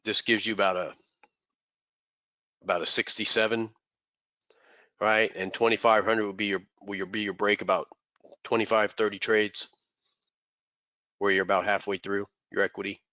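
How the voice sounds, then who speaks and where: A man's voice: lowish voice, level tone, moderate pace, pauses often, pushed and bright, dry recording. A man teaching trading in a loose live session, congested and rambling, often repeating words. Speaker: trading mentor. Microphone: desk microphone.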